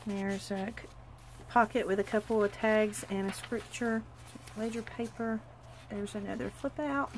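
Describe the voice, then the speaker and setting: A woman sounding stuffy and sniffly from a cold, speaking at a middling pitch. She chats in a hurried, gushing way as she shows off her crafts. A faint hiss of room noise sits behind her voice. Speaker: crafter showing a handmade journal. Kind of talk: casual craft walkthrough.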